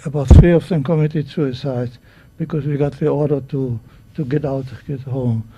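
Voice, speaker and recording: A man in his nineties, a native German speaker, nervously giving personal testimony at a microphone in halting English. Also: mid-range voice, steady tone, mellow, dull audio, slight background noise. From Czechoslovakia. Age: 90s. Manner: halting, searching for words, many restarts. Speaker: guest speaker at a public event.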